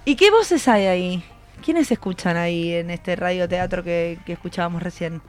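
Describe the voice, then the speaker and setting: A woman's voice: lowish voice, normal speed, darkish tone, faint music behind. A woman with an Argentine Rioplatense accent hosting a radio show in a conversational interviewing style, prompting her guests with questions. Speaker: radio host.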